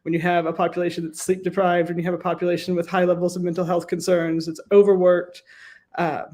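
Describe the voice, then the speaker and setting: An American woman speaks as an academic guest in an interview. Her answers are measured and explanatory, often built from emphatic lists. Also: lowish voice, flat delivery, dark tone. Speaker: professor and researcher guest.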